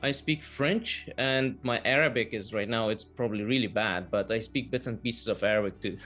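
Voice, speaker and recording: A man whose first language is Persian, giving measured, earnest interview answers with filler pauses over a video call. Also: fairly low pitch, fairly bright tone, faint music behind. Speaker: guest, translation business owner.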